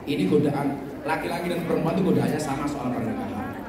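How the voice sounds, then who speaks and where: A man's voice: fairly high pitch, lively intonation, fast. A man with a Javanese accent preaching at a question-and-answer gathering, joking and telling stories as he answers. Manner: joking storyteller, mixes in regional language. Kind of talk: humorous sermon Q&A. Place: large echoey venue. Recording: echoey hall, distant, loud crowd. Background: loud crowd and noise.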